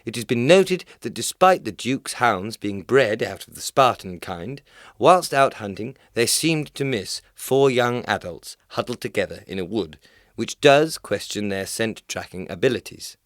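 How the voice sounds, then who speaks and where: Man playing a low-voiced, dry satirical news reporter. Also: animated, unhurried, no room sound. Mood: wryly formal. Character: dry male newspaper gossip reporter, wryly mocking. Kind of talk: scripted satirical news reading.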